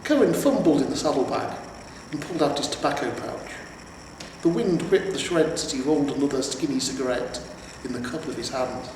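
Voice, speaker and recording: A man's voice: medium pitch, animated, a little fast, distant mic. A man reading scripted fantasy fiction aloud as a storyteller. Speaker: amateur audiobook narrator. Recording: very echoey reverberant room, faint noise.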